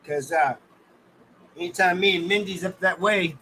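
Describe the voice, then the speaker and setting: A man's voice: high-pitched, normal speed, pushed and bright, a little hiss or hum. An American man hosting a casual livestream, talking in a folksy, colloquial way and addressing his viewers by name. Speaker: livestream host.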